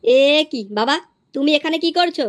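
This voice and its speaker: A woman's voice: sits high, moderate pace, noisy background, natural voice. A woman voicing a cheeky, offhand teenage schoolboy in a comedy cartoon. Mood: casual. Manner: casual, offhand.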